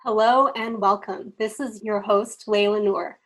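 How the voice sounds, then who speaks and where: A woman in her thirties from the United States, hosting a podcast discussion over a video call from her bedroom in a clear, measured way. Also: medium pitch, steady tone, a bit bright, faint music behind. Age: 30s. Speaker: podcast host. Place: bedroom at home, indoors. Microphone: video call from home.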